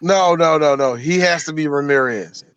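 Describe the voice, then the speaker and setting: Podcast co-host, male, slightly high voice, dry close sound, emphatic and profane. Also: moderate pace, smooth, dry recording, lo-fi sound. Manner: excited, emphatic, swears freely. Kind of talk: casual chat.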